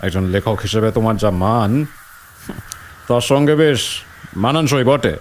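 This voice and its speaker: A man's voice: mid-range voice, normal speed, slight background noise, lightly acted. A man playing a skeptical, pragmatic school principal in an audio drama, dry, scornful and lecturing. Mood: dismissive.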